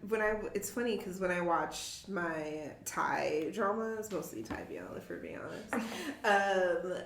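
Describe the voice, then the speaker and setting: A woman with a gravelly edge to her voice hosts a casual podcast chat. She jokes and laughs her way through her stories. The recording sounds roomy and echoing, with a faint hum of background noise. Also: fairly low pitch, a little slow. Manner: animated, jokey, laughing through lines. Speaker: podcast host. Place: warehouse.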